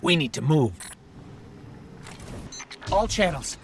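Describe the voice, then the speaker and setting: Expressive, high-pitched anxious robot companion. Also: male voice, takes it slowly, gravelly, slight background noise, full character voice. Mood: urgent. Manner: worried, urgent, expressive. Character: small floating robot companion, male, anxious and earnest. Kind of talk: video game voice acting.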